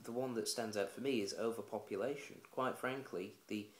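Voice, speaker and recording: A man's voice: fairly low pitch, steady tone, normal speed, a little hiss or hum. A man giving blunt, opinionated casual commentary to camera for his own video blog, speaking indoors at home. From England. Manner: blunt, opinionated, plain-spoken. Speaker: vlogger. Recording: roomy echoey room.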